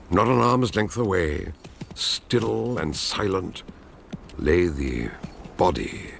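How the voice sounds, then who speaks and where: A grave British patriarch tells a gothic family curse in a very low voice full of creak. His delivery is ominous and deliberate, in solemn archaic radio-drama style, with clear atmospheric noise around him. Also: heavily acted. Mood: ominous dread. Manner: slow-building, ominous, solemn, archaic storytelling. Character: grave ancestor recounting a dreadful family legend of a demon.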